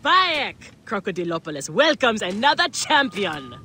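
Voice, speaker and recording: A woman's voice: medium pitch, very animated, normal speed, bright, forceful tone, a little hiss or hum. An actress playing a bold, boastful woman gladiator in a video game, brash and teasing in manner. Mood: teasing, hearty. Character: bold, boastful, battle-hungry female gladiator. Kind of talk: video game character acting.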